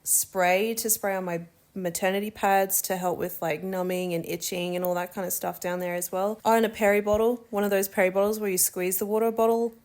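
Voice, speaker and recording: A woman's voice: mid-range voice, fairly even intonation, fairly quick, hardly any pauses, a little mellow. A young woman, chatting candidly and laughing easily as she shares her birth story. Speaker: new mother sharing birth story. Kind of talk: casual chat.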